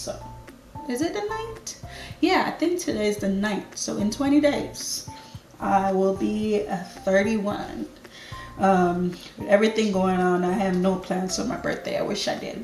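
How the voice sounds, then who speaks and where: Slow-talking Jamaican woman in her thirties. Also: mid-range voice, a little mellow, roomy sound, low-quality recording. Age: 30s. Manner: chatty, unhurried, laughs easily. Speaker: lifestyle YouTuber. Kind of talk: casual get-ready-with-me Q&A.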